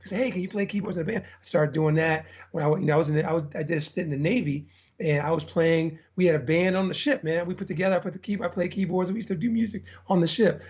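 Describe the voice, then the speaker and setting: A man's voice: on the high side, quick pace, a little mellow, husky, highs cut off. A middle-aged man from Texas, a podcast guest who rambles energetically with many restarts. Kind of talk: casual interview chat.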